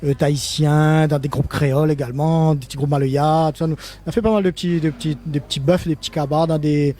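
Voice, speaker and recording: A man's voice: a little high, flat delivery, fast talker, mellow. An older man from Réunion speaking French with a Creole-inflected accent, a musician guest in a casual live interview who rambles, restarts and laughs often.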